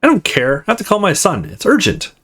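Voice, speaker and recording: A man's voice: high voice, wildly swooping pitch, a little fast, a bit bright, husky, pitched above the performer's own voice, heavily acted. A man voicing a rude, demanding old woman in a visual novel game. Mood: impatient, demanding. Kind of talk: visual novel character read-aloud.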